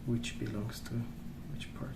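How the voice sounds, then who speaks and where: A man doing soft-spoken ASMR, murmuring in an unhurried, musing way as he rambles to himself while colouring. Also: echoey room, very noisy. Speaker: ASMR video creator and host.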